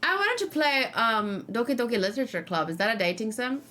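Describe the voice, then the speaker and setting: High-voiced woman chatting over a game. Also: expressive, brisk. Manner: chatty, thinks out loud, reacts expressively. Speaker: let's play streamer. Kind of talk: casual gameplay commentary, reading game text aloud.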